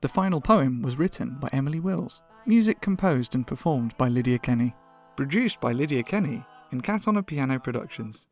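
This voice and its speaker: A man's voice: medium pitch, animated, brisk, darkish tone. A man reading a scripted credits announcement as a podcast announcer. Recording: faint music behind the voice.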